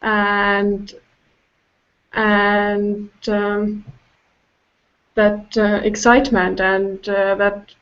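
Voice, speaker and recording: A woman talking casually and haltingly as a podcast guest. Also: mid-range voice, level tone. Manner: halting, hesitant. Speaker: podcast guest, festival helper. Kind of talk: hesitant casual talk. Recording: dull lo-fi recording.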